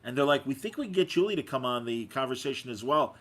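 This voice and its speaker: A man's voice: mid-range voice, animated, quick pace, fairly bright tone. A man hosting a casual interview podcast, chatty and effusive, often stammering and repeating his words as he goes. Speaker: podcast host.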